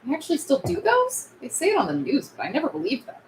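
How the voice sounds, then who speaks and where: A woman reading the part of a defiant, sharp-tongued microbiologist among survivors at a script table read. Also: high voice, wildly swooping pitch, fast, background noise. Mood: curious, skeptical.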